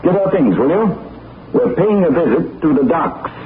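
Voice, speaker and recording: An actor playing a refined gentleman detective in a 1940s radio drama, with clipped, polished diction. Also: a little high, sing-song, moderate pace. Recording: scratchy, tinny 1940s radio broadcast.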